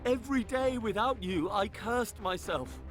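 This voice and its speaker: A high-pitched father racked with guilt in a fantasy game. He pleads desperately and with heavy emotion. Music is clearly heard behind him. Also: unhurried. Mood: pleading, remorseful. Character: desperate guilt-ridden father pleading with his dead daughter. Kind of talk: video game cutscene acting.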